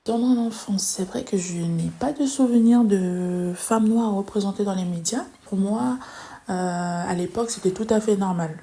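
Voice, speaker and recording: Mellow young woman from New Caledonia. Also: mid-range voice, normal speed. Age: 20s. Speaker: podcast interviewee. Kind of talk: personal testimony, conversational.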